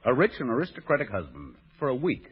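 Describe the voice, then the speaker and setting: An actor playing a brash young gambler boss in a 1930s radio comedy, with clipped, wisecracking slang. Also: male voice, medium pitch, huge pitch swings, quick pace, husky, exaggerated voice. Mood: wry. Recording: muffled, reverberant old radio recording.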